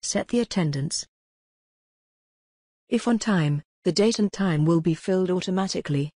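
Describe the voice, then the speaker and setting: A woman reading scripted tutorial narration in a measured, impersonal, step-by-step way. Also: lowish voice, dark tone, husky, no echo, clean studio sound.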